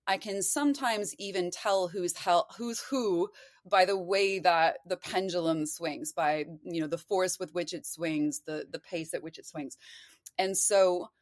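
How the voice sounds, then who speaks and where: A woman's voice: lowish voice, a little slow, no room sound. A woman talking as a podcast guest, explaining things in a casual, digressive, conversational way. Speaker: podcast guest, occult practitioner.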